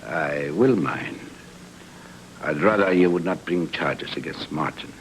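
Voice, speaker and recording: An actor playing a wealthy, evasive gentleman in a noir radio drama, speaking with precise, courteous formality. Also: a bass voice, unhurried, slight background noise. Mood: measured unease. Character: wealthy, formal, evasive husband who shuns attention.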